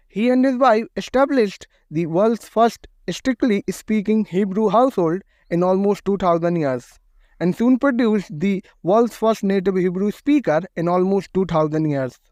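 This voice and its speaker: Narrator: adult man, high-pitched and steady, measured scripted delivery, dry and slightly muffled recording. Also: no echo.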